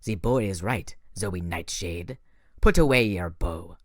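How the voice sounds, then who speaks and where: A man's voice: deep voice, unhurried, dry recording, clean studio sound, pitched below the performer's own voice. A man voicing a menacing male monster who speaks with a heavy French accent and gloats over his captives. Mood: gloating.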